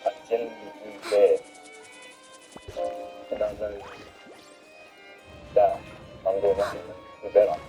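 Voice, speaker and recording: Dark-voiced man in earnest conversation. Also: on the low side, level tone, slow, pauses often, soft background music. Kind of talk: earnest relationship talk.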